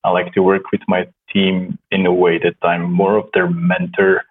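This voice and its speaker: A man with a Slovenian accent, a startup co-founder chatting in a soft-spoken, halting way with many restarts. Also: low-pitched, monotone, husky. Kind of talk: casual conversation. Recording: tinny phone-like line.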